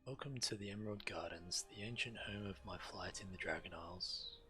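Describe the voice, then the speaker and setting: Low-voiced man reading quest text aloud. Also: a little fast. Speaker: game streamer. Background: game music.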